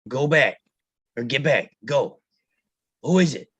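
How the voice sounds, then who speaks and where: A man playing a bossy, protective, hot-tempered mobster elder brother in a table read, with a husky voice. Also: mid-range voice, lively intonation, slow, fairly bright tone, no room sound, heavily acted. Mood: commanding. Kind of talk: live table read of a film script.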